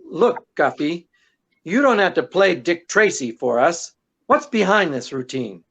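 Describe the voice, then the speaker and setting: A woman's voice: lowish voice, sing-song, a little slow, husky, highs cut off, full character voice. A woman acting a wisecracking, devoted husband and sleuth in 1940s radio style, with flustered banter. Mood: defensive.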